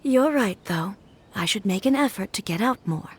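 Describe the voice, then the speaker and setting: A woman's voice: mid-range voice, expressive, breathy edge. A woman voicing a regal, earnest female deity in an anime game, formal and composed, over music. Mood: reflective. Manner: formal, composed, measured phrasing.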